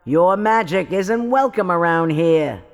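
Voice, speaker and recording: Taunting fairy for an audio play, slow firm delivery, echoey hall. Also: male voice, sits high, lively intonation, full character voice. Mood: scornful. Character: scornful, protective fairy named Hazel. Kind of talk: fairytale audio drama acting. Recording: long hall reverb.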